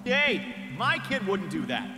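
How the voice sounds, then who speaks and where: A rude, angry dad. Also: male voice, high voice, very animated, unhurried, reverberant, in a large hall, music in the background, voice pushed up. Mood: belligerent. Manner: belligerent. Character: angry red-faced dad, loud and rude.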